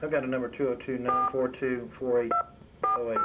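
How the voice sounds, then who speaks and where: Man, medium pitch, short phone replies, distant sound on an extremely noisy telephone recording. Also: steady tone, brisk. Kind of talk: brief replies on a phone call.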